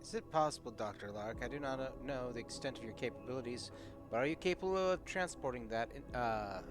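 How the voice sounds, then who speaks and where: A man playing a coolly curious doctor in a tabletop game, halting and formal. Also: medium pitch, animated, over music, lightly acted. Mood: coolly inquiring.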